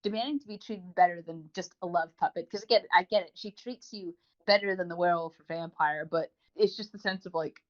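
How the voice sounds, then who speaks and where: A woman's voice: on the low side, fairly quick. A woman co-hosting a podcast, giving a rambling, enthusiastic review in a casual, chatty style. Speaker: podcast co-host. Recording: dull lo-fi voice recording.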